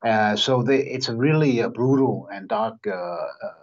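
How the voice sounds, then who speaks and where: A man's voice: medium pitch, measured pace, lo-fi sound. A man from Denmark, a guest on an interview program, answering in a thoughtful, hesitant way with many restarts. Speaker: guest, human rights campaigner.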